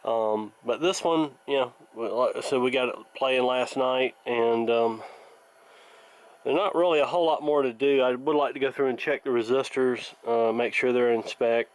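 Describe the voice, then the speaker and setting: A man giving casual hands-on workshop commentary, thinking aloud in a halting, plainspoken, folksy way, like a hobbyist hosting a repair video. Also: mid-range voice, rough recording.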